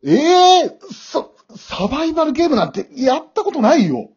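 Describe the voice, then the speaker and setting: A man's voice: very high-pitched, sing-song, moderate pace, a little mellow, muffled recording, heavily acted. A man acting in an amateur radio drama as an awkward, remorseful middle-aged father, hesitant and easily flustered.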